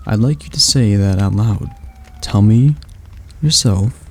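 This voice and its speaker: A man voicing a patient male demon tutor who teaches magic in a calm, gently commanding ASMR roleplay. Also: fairly low pitch, unhurried, breathy edge. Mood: instructive.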